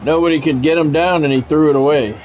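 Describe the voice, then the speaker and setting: A man's voice: on the high side, quick pace, narrow, tinny audio. Plain-spoken man calling football, music behind. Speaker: live-streamer doing play-by-play of a football video game. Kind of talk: sports play-by-play commentary mixed with casual stream chat.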